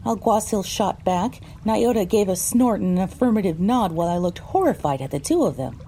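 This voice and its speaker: A woman's voice: mid-range voice, expressive, brisk, warm tone, slight background noise. A woman narrating an audio drama in the first person from a script.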